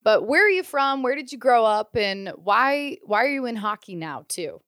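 A woman's voice: fairly high pitch, very animated, normal speed, a bit bright, clear, resonant tone, no room sound, studio quality. A woman hosting a hockey podcast interview, asking upbeat, friendly questions. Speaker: podcast host.

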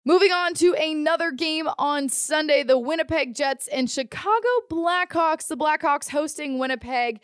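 A woman's voice: high voice, dry recording, studio quality. A woman hosting a sports podcast, reading scripted news and recaps. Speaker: podcast host.

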